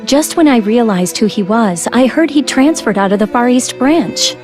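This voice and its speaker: A woman voicing a caring, older-sister female soldier in an English game dub, with a reassuring, steady manner. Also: a little high, normal speed, faint music behind, lightly acted. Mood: concerned.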